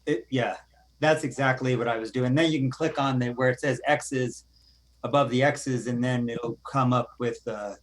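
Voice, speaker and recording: A man joining a video call webinar to ask a casual, slightly unsure question about the software. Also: mid-range voice, fairly even intonation, normal speed. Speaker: caller asking a question. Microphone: computer mic on a video call.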